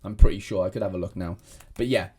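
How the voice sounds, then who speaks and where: A man's voice: low voice, fast, mellow. Rambling young man. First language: Welsh. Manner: rambling, many restarts and fillers. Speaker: fantasy football content creator. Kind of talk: casual chat to camera.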